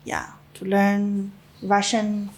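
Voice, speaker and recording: A middle-aged Chinese woman, Chinese her native language, speaking English with a Chinese accent, recalling her youth in an interview in halting phrases with many fillers and small laughs. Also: mid-range voice, completely flat delivery. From China. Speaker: interviewee, winemaker. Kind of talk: personal reminiscence in an interview.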